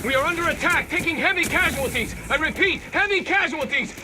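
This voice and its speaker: A panicked soldier calling for help. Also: male voice, very high-pitched, fairly quick. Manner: frantic, shouting distress call. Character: male soldier under attack, panicked. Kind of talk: video game cutscene acting. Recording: noisy transmission with gunfire behind.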